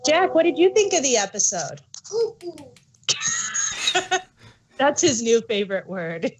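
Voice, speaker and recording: A woman with a fairly high voice talks in a casual, conversational style. She sounds like a podcast co-host in an informal discussion. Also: big pitch swings, slow pace, noisy background. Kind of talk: casual chat.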